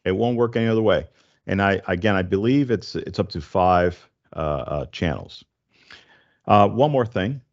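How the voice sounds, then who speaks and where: A man in his forties hosting a casual tech explainer, speaking in a halting, stop-and-start way into a close desk microphone. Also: low-pitched, animated, muffled recording. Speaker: tech show host. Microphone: desk condenser microphone, close, with headset.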